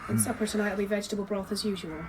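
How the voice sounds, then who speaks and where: A woman's voice: mid-range voice, fairly even intonation, very fast, noisy background, lightly acted. A woman playing a practical, caring young cottage woman with a sceptical streak in an audio drama. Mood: caring, tired.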